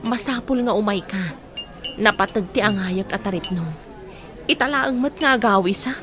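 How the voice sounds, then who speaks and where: A woman's voice: medium pitch, moderate pace, a crowd in the background, natural voice. A woman playing a frank, persuasive young working woman in an Ilocano radio drama, with an Ilocano accent.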